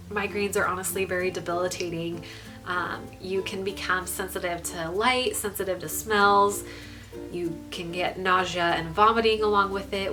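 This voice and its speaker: A young woman with a stuffy, congested voice giving a candid pregnancy update, pausing often with ums. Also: on the low side. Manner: frequent ums, relaxed and candid. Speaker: pregnancy vlogger. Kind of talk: casual vlog update.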